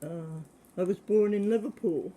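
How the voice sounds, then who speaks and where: A man in his seventies, answering questions about his childhood in a plain, hesitant way with many pauses. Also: high voice, mellow. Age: 70s. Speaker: interviewee. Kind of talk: casual recollection.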